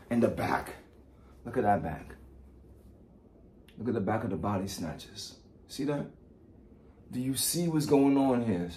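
Guy vlogging, animated n boastful, repeats himself for emphasis, bit of noise behind him. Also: fairly low pitch. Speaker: vlogger, product reviewer. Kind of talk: casual hype unboxing vlog, talking to viewers.

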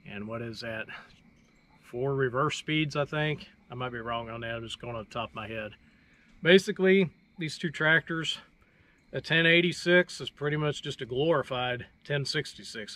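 A man's voice: mid-range voice, bright, forceful tone. A man hosting a farm video, casually explaining tractor features in a plainspoken, folksy way and thinking aloud as he goes.

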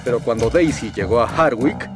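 A man narrating a children's cartoon in an expressive storybook style. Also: mid-range voice, moderate pace, music in the background.

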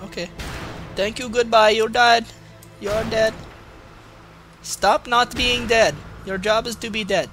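A man's voice: high voice, steady tone, takes it slowly, long pauses, fairly bright tone, soft background music. A man putting on a Russian accent as a crude, boastful, grumbling gamer, giving comic gameplay commentary in broken English. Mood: taunting, excited. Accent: put-on Russian. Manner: crude, swearing, mock-menacing, broken English.

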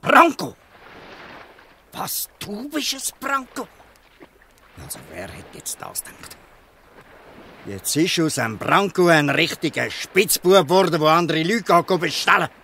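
Blustering old Swiss fisherman, swooping pitch. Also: very animated, unhurried, vocal fry, long pauses, pushed and bright, slight background noise, full character voice. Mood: disappointed, reproachful. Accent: Swiss German. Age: older. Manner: blustering, ends taunts with a questioning "hä". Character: gruff old fisherman, scolding, shrewd, softening. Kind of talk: dialect radio play acting.

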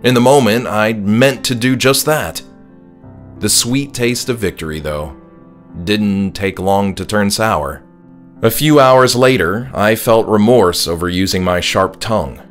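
A man with a fairly low voice narrates a horror audiobook he wrote. He reads in the first person, slowly and somberly, with measured dramatic weight. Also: fairly bright tone. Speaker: author reading his own horror story.

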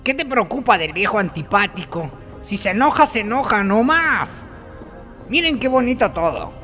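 Guy acting scornful mocking radio soap man, high voice all over the place, music behind. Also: sing-song, moderate pace, pushed and bright, exaggerated voice. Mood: mocking, goading. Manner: sarcastic, scolding. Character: scornful, mocking man, harsh scolder and tempter.

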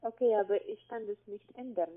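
A woman with a foreign accent speaking on a phone call as a call-centre agent, insistent, with halting non-native phrasing. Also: medium pitch. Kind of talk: phone conversation. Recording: tinny narrow phone line.

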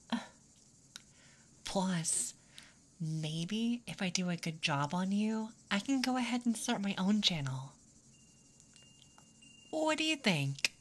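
Slow, teasing tomboy played by a woman. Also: mid-range voice, a bit bright, exaggerated voice. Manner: teasing, drawling, slipping into whispers. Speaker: ASMR roleplay performer. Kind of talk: ASMR roleplay, intimate second-person acting.

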